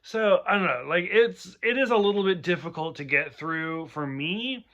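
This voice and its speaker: A man's voice: high voice, lively intonation, moderate pace, sharp tone, highs cut off. A man hosting a casual review chat, rambling and chatty with lots of fillers, a bit tipsy. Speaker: show host.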